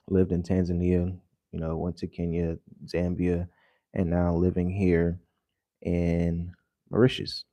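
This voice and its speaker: A man who has just woken up, his voice deeper than usual, talking casually and rambling to viewers in a vlog with lots of fillers. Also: mellow, no room sound, clean studio sound. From United States. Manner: rambling, loose and conversational with many fillers. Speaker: vlogger. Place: home in the early morning.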